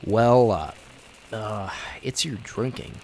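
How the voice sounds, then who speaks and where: An actor playing a young speakeasy proprietor with a secret vigilante life in an old time radio drama, speaking in a hesitant, conversational way. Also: male voice, on the low side, slow pace, darkish tone, slight background noise. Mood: uneasy, concerned. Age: young adult.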